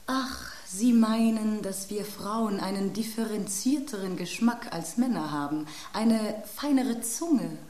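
An actress voicing a vain, romantic female rose who talks with dramatic excitement, in a radio play. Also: medium pitch, takes it slowly, few pauses, roomy sound, slight background noise, lightly acted. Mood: excited.

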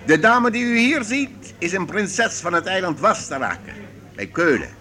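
An actor in a Dutch radio play playing a genial, wily troupe master who speaks in a smooth, theatrical way. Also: male voice, high voice, sing-song, moderate pace, noisy background. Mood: grand, courteous. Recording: radio play with crowd chatter behind.

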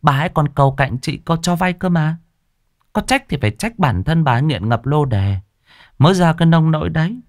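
A cold, mocking woman gang boss voiced by a male storyteller in an audio story. She talks down in a slightly raised, mock-sweet voice with lilting pitch, taunting and threatening. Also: expressive, a little fast, no echo, studio quality, close to an everyday voice. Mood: cold condescension. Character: cold, mocking female loan-shark boss, condescending and threatening.

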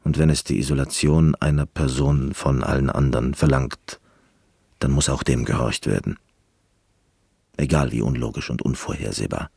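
An actor playing an eccentric, reclusive concert pianist in a radio play monologue, speaking in an intense, deliberate, reflective way. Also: male voice, very deep voice, vocal fry, a little mellow, breathy edge, no room sound, clean studio sound, heavily acted. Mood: brooding.

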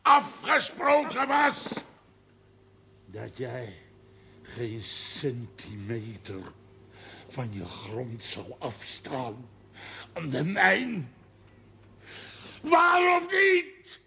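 An actor playing a furious, aggrieved village landowner with a Dutch accent, ranting in a gravelly, strained voice in a television drama. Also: male voice, mid-range voice, wildly swooping pitch, drawn-out words, pauses often, noisy background, exaggerated voice. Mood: accusing, furious. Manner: ranting, shouting, emphatic, coarse-mouthed. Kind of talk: television period drama acting.

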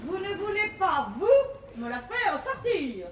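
Shrill, imperious bourgeois wife in roomy theatre. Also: female voice, sing-song, takes it slowly, a faint crowd, exaggerated voice. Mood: scornful. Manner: declamatory, pompous, haughty. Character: imperious pedantic bourgeois wife, easily outraged. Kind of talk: 1950s classical French stage comedy in verse. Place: theatre stage. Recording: roomy 1950s theatre recording, faint noise.

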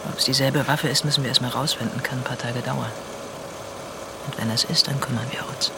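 A man's voice: mid-range voice, fairly even intonation, a little fast, gravelly, heavy background noise, close to an everyday voice. A man playing a matter-of-fact, persistent police detective in a radio drama, speaking in an insistent, businesslike way. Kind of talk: German radio drama acting.

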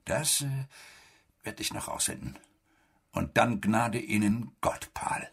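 A stern middle-aged army major in a radio drama, with a very rough, husky voice that sits low. He is bitter and menacing, biting off threats and insults. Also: slow pace, full character voice. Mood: furious. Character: stern, threatening East German army major, embittered father. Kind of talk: German radio play dialogue.

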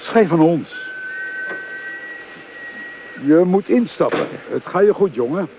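A man's voice: fairly high pitch, animated, moderate pace, dull audio, noisy background. An actor playing an earnest, protective middle-aged father in a radio drama, speaking in a solemn, paternal way. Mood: earnest, urging.

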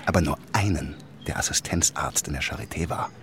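An actor playing a calm, dryly witty police detective in a radio drama set in 1910s Berlin. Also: male voice, a bass voice, fairly quick. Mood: quietly intent. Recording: clear vehicle and ambience noise behind.

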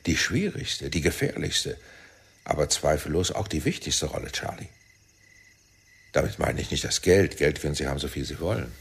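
A man acting a shrewd, persuasive intelligence team leader in a German radio play, speaking deliberately and insistently. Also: a bass voice, animated, creaky, husky, exaggerated voice. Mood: smooth, persuasive.

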